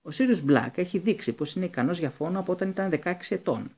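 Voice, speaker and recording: A man's voice: sits high, animated, darkish tone, pitched above the performer's own voice. A man voicing a cold, resentful male professor with a snide, sneering manner.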